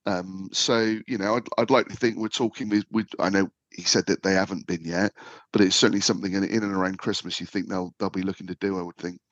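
A man's voice: deep voice, fairly even intonation, quick pace, fairly bright tone, no room sound, highs cut off. A man co-hosting a football podcast in casual chat, talking with lots of fillers and restarts. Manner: chatty, many fillers and restarts. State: slight man flu. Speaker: podcast co-host.

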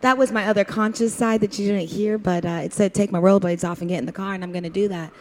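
A woman's voice: mid-range voice, steady tone, fast, close to an everyday voice. A woman improvising a flustered, chatty, eager-to-please diner waitress in a comedy parody of a radio play. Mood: smitten, rambling. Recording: reverberant hall sound.

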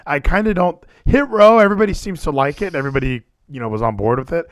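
A man talking casually and animatedly as a podcast co-host, full of quick asides. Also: a little high, no room sound, clean studio sound. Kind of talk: casual wrestling chat.

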